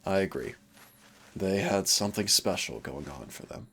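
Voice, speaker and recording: A man playing a centuries-old demon hunter, teasing and rebellious yet wistful and protective, in an intimate audio roleplay with a gravelly voice. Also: expressive, normal speed, a bit bright, slight background noise, heavily acted. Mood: fond, nostalgic. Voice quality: very low, gravelly creaky voice. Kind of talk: intimate second-person audio roleplay acting.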